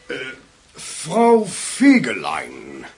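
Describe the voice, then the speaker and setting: An actor in a radio play playing a calculating schemer who poses as a polite, hesitant priest. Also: male voice, medium pitch, huge pitch swings, very slow, exaggerated voice. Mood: polite, smooth.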